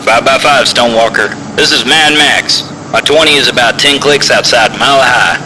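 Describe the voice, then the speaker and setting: A man playing a steady, seasoned trucker giving frank, measured advice in CB slang in an audio drama. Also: mid-range voice, close to an everyday voice. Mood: calm, advising. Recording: noisy CB radio in truck cab.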